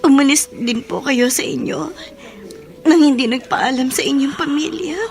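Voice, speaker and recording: A woman's voice: high voice, normal speed, darkish tone. A woman with a Filipino accent playing a tearful, homesick figure in a radio drama, speaking plaintively and respectfully. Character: tearful, homesick woman longing for loved ones.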